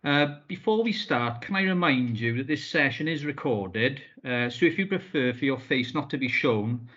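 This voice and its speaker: A man's voice: medium pitch, expressive, normal speed, a bit bright. Man, formal host, ums and uhs, muffled video call sound. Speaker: business support officer hosting a webinar. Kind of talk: scripted welcome on a video call.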